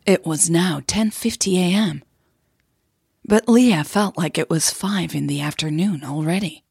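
Slow, husky, breathy woman storyteller. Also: fairly low pitch, darkish tone, no echo, studio quality. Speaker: podcast host and narrator. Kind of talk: audio drama storytelling with informal podcast intro.

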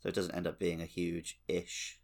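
A man's voice: deep voice, completely flat delivery, normal speed, creaky, a little mellow. A man co-hosting a video game podcast in a casual, wry and joking manner. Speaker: podcast co-host.

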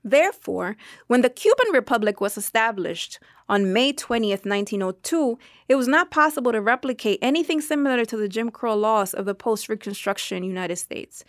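A woman reading a translated interview answer as a voice-over, in a measured, formal and explanatory manner. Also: fairly high pitch, expressive, no room sound, studio quality. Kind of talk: scripted translated narration of historical analysis.

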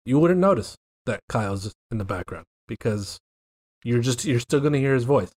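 A man's voice: mid-range voice, normal speed. A man with a Miami accent hosting a casual video game podcast, rambling and joking with his co-hosts. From Miami, United States. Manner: joking, rambling, lively delivery. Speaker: podcast host.

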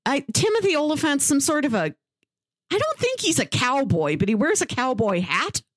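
A woman's voice: sits high, sing-song, moderate pace, no echo, studio quality. A woman co-hosting a podcast in casual banter, chatty and wry, with a playful way of talking. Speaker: podcast co-host.

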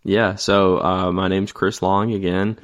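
A man's voice: low-pitched, flat delivery, unhurried, dry recording, studio quality. An American man in his early twenties talking casually and informally as a laid-back podcast co-host. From Raleigh area, United States. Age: early 20s. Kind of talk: casual chat.

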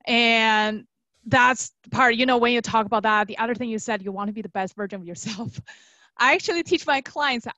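A young woman who grew up in China, talking casually as an interview guest in an animated, conversational way, often checking in with tag questions. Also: fairly high pitch, moderate pace, no echo, dull audio. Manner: animated storytelling, frequent tag questions, quotes imagined clients. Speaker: guest, dog trainer.

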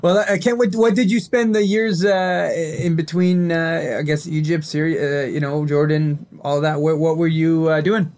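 A man's voice: on the high side, moderate pace, hardly any pauses. A middle-aged man with a slight Arabic accent, a native Arabic speaker, chatting casually as a podcast guest. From born in Kuwait, moved to Canada. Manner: chuckles often, animated agreement. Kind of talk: casual conversational interview.

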